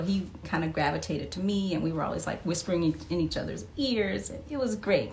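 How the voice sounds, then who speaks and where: A woman chatting casually as a podcast guest, telling personal anecdotes in an expressive way. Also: mid-range voice, slight background noise. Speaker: interview guest. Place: live podcast taping.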